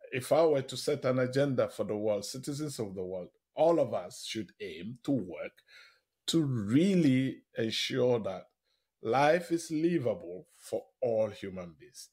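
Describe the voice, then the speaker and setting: A man with a husky edge to his medium-pitched voice speaks as an expert guest in an interview. He explains in short, emphatic phrases, often repeating himself for weight and posing questions as he goes. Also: a little mellow.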